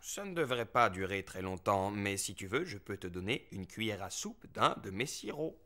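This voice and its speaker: A man's voice: fairly low pitch, big pitch swings, normal speed, pushed and bright, exaggerated voice. A man playing a reassuring bear family doctor in a French preschool cartoon. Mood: reassuring, businesslike.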